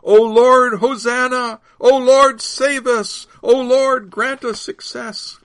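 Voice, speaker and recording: A man acting out fervent pilgrims in a story, shouting and chanting praise with loud, drawn-out cries. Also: very high-pitched, fairly even intonation, voice pushed up, exaggerated voice. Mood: fervent, jubilant.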